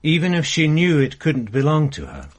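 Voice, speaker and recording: An actor playing an earnest, easygoing police sergeant in a radio drama. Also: male voice, fairly high pitch, huge pitch swings, moderate pace.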